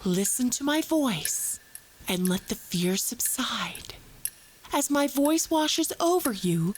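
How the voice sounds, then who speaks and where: A woman plays a calm female psychologist with a slow, measured delivery. She coaxes and soothes like a hypnotist, controlled and repetitive, in an audio drama. It all sits on an old cassette recording with clear hum and noise. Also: fairly high pitch. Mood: hypnotic. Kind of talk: audio drama therapy session.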